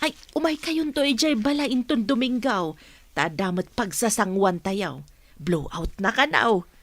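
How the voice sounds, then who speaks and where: Lively middle-aged Filipino mother, acted by a woman. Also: a little high, moderate pace, no echo. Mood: proud, welcoming. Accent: Filipino (Ilocano).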